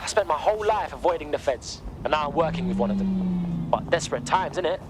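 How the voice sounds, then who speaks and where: A man plays a streetwise British rebel in a game. His voice is fairly high and wry, with clear outdoor noise behind. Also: a little fast, lightly acted. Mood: wry resignation. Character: wry, streetwise male resistance operative. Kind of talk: video game dialogue.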